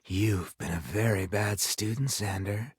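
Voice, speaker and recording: A man voicing a sultry, domineering female teacher who speaks in a slow, purring, seductive way. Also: lowish voice, breathy edge, no room sound, pitched below the performer's own voice.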